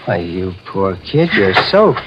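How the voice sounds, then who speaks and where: A nervous, stammering window dresser. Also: male voice, low-pitched, very animated, takes it slowly, background noise, heavily acted. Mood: flustered, solicitous. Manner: hesitant, stammering, deferential. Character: nervous, meek window dresser, a secret murderer. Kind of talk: 1950s radio horror acting. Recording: muffled vintage radio, music behind.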